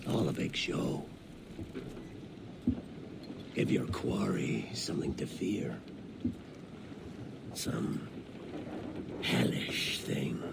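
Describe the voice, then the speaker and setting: An actor playing a theatrical, menacing pirate captain in a video game cutscene, given to drawn-out phrases and dark whispers. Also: male voice, high voice, creaky, exaggerated voice. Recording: noisy game ambience behind the voice.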